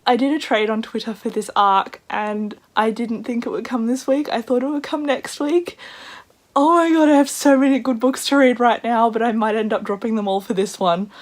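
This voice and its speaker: A woman with a croaky voice, chatting casually in a book vlog with excitable asides. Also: medium pitch. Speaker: book vlogger.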